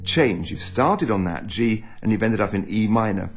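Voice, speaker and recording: A man's voice: on the low side, expressive, moderate pace. A man who is a singer, guitarist and broadcaster, chatting easily about a song and singing bits of it to show the chords. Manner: easygoing, chatty, sings lines to illustrate chords. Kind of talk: explaining a song while playing guitar. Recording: guitar playing behind.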